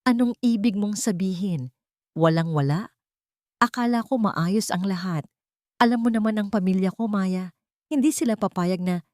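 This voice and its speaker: A woman's voice: mid-range voice, moderate pace, pauses often, a little mellow, dry recording, clean studio sound, close to an everyday voice. A woman with a Filipino accent voicing a remorseful, determined wealthy man in a romantic story, earnest and insistent. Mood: confused, defensive.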